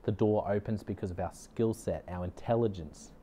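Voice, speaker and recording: Aussie guy, fairly low voice, earnest upbeat coach-type talk, says things twice to hammer them home. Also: normal speed, mellow, slight background noise. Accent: Australian. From Australia. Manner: earnest, upbeat, repeats key lines for emphasis. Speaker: leadership consultant and podcast host. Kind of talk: direct-to-camera motivational talk.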